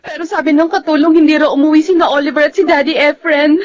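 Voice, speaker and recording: A woman acting as a distraught young mother in a Filipino radio drama, tearful and accusing. Her voice is very high and emotional, recorded dry and close. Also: fairly even intonation, fast, no room sound, dull audio, natural voice. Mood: upset, tearful.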